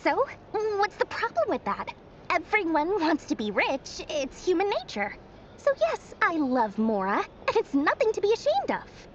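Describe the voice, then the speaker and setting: Brash young businesswoman for a game: high-pitched, theatrical, blustering, slight noise on a dulled capture. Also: huge pitch swings, lo-fi sound, heavily acted. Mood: proud, gleeful. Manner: theatrical, sassy, quick to bluster. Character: brash money-loving young female tycoon, secretly kind-hearted. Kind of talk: video game voice acting.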